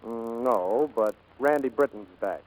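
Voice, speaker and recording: An actor playing a kindly, earnest plane builder in 1940s radio drama, gentle and a little hesitant. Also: male voice, medium pitch, a little slow, close to an everyday voice, low-quality recording. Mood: tender, persuasive.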